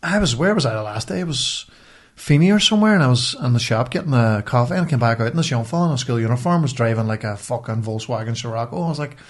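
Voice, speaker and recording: A man's voice: mid-range voice, lively intonation, fast talker. A man with a Northern Irish accent, co-hosting a podcast in casual, colloquial, sweary chat. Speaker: podcast co-host.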